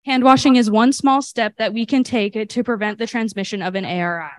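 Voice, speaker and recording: A woman's voice: on the high side, fairly even intonation, fairly quick, no room sound. A teenage girl from California earnestly reading her section of a student health presentation. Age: teens.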